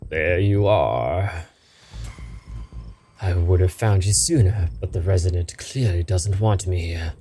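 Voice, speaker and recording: A man's voice: low voice, slow, mellow, slight background noise. A man voicing an eerie creature that poses as a person, cold, condescending and mock-hurt, in a game read-aloud with music behind him. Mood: cold, sneering. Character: eerie male creature posing as a person, coldly taunting. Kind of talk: visual novel character read-aloud.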